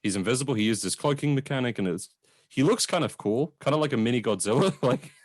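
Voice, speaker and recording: A man's voice: mid-range voice, lively intonation, quick pace, no room sound. A man chatting casually as he reviews a film, with a blunt, informal, sweary way of talking. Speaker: film reviewer on a two-person show.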